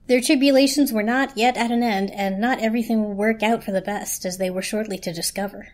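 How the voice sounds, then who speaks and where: A woman's voice: on the high side, fairly even intonation, brisk. A woman narrating a children's story as a dramatic reading.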